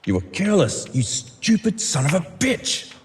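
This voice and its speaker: A man's voice: sits high, big pitch swings, normal speed, long reverb tail, voice pushed up. Man voicing irritable costumed prankster, self-berating inner monologue, muttered, audio drama, faint noise behind. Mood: angry, self-berating. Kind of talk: audio drama character acting.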